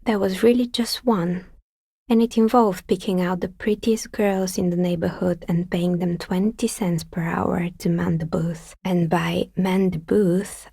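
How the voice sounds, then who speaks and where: Husky, wry woman dicemaker. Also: lowish voice, warm tone, no room sound, clean studio sound, heavily acted. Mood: sardonic. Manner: wry, understated, matter-of-fact. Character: dry, sceptical woman novelty dicemaker. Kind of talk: video game character dialogue.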